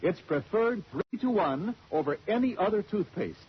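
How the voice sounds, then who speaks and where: A man's voice: high voice, expressive, unhurried, husky. An American man working as a radio announcer, reading a scripted sponsor message in 1940s style. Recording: dull, noisy old radio recording.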